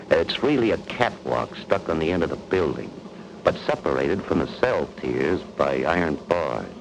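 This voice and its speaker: An actor playing a tough, bossy convict with slangy, ungrammatical talk in a 1940s radio crime drama, on an old recording. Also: male voice, low-pitched, vocal fry, a little hiss or hum, low-quality recording. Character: tough, bossy male convict plotting prison break.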